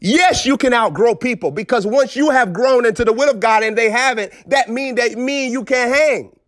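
High-voiced scolding preacher man. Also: moderate pace, fairly bright tone, no room sound, studio quality. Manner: emphatic, repetitive, scolding. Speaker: prophet preacher. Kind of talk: live streamed sermon.

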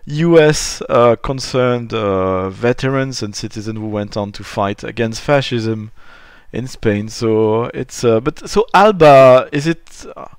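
A man's voice: medium pitch, takes it slowly, few pauses, clear, resonant tone, no room sound, clean studio sound. A man hosting a podcast, asking questions in a casual, hesitant way, restarting and searching for words. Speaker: podcast host.

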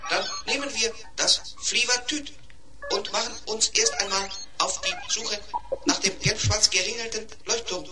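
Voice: mid-range voice, moderate pace, over music, natural voice. An actor playing a polite, earnest schoolboy robot in a 1970s German children's radio play, speaking precisely. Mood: eager.

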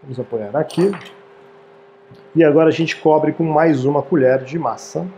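Mellow-voiced man hosting a cooking show. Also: a little high, moderate pace, a little hiss or hum. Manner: friendly, chatty, keeps asking "tá, gente?". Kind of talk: casual cooking demonstration. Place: small home-style studio kitchen, indoors.

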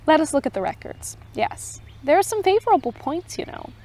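Woman reading as a gentle voice of authority. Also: high voice, sing-song, pitched above the performer's own voice. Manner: kind, measured, pleading. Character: gentle, authoritative unseen advocate, hopeful and sad.

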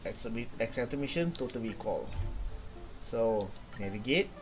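A young man hosting a game playthrough, reading dialogue aloud haltingly and commenting casually. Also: medium pitch, expressive, over music. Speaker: gaming video host.

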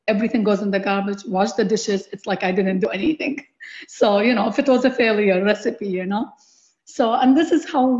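A woman's voice: mid-range voice, dark tone. A middle-aged or older woman from Baghdad with an Iraqi accent, telling stories casually as an interview guest and often saying "you know" and "like". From Baghdad, Iraq. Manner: peppers talk with "you know" and "like". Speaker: interview guest, cookbook author. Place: living room at home, on a video call. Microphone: video call computer mic. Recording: dull lo-fi video call audio.

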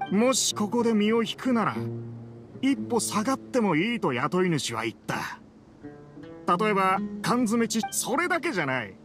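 A man voicing a threatening middle-aged mercenary leader in an anime game, taunting and rough-spoken. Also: sits high. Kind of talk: Japanese anime game voice acting. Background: background music.

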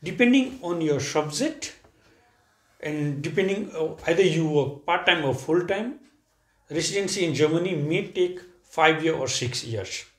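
A man in his thirties from Nepal, a surgeon being interviewed on a sofa, answering calmly and matter-of-factly with frequent hums. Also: on the high side, measured pace. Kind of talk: casual conversational interview. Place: living room, seated on a sofa indoors. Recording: echoey room.

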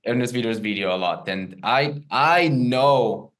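A man's voice: mid-range voice, animated, measured pace, a bit bright. A man hosting an interview, probing with insistent questions and interjections. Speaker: interview host, magician. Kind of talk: casual video-call interview.

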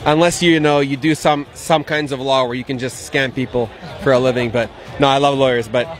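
A man's voice: medium pitch, steady tone, a little fast. A man, around his thirties, answering questions about his work in an outdoor street interview. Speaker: interviewee, investment banking business owner. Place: outdoor patio at an event. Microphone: handheld interview mic.

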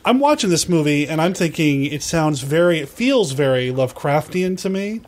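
A man's voice: a little high, sing-song, moderate pace, darkish tone. A man hosting a podcast, chatting casually and conversationally with his guest. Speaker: podcast host.

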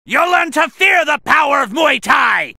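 An actor playing an arrogant, bloodthirsty male Muay Thai fighter in a fighting game, boastful and theatrical, with a strained, gravelly voice. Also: very high voice, very animated, dry recording, studio quality, heavily acted. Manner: boastful, theatrical, drawn-out shouting. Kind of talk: fighting game battle taunts and attack shouts.

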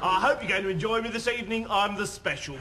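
A man's voice: high-pitched, fast, close to an everyday voice. An actor playing a chirpy, confiding English man who is served as a dish, in television sketch comedy. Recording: noisy 1970s television studio recording.

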